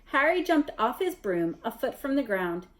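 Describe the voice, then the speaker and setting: A woman reading a children's novel aloud as narrator, in an expressive storytelling style. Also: fairly high pitch, moderate pace. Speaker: story reader.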